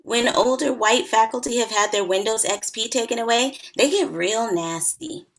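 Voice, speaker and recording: A woman's voice: a little high, normal speed, close to an everyday voice. A woman acting a sardonic, confident party-loving wedding guest in a screenplay table read. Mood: teasing, frank.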